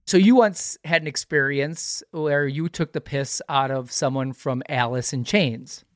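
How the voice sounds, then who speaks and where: A man hosting a podcast, telling an anecdote and setting up questions in a measured, articulate, conversational way. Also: on the high side, no room sound, studio quality. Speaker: podcast host.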